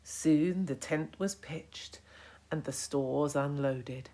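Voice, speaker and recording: A woman narrating a children's storybook in a deliberate, expressive way, as a storyteller to young listeners. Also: low-pitched. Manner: deliberate, expressive, hushed at times.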